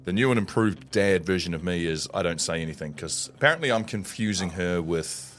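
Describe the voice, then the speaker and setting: A middle-aged man with a loud booming voice, co-hosting a casual morning show and telling a self-deprecating story. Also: expressive, a little fast, a little hiss or hum. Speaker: co-host.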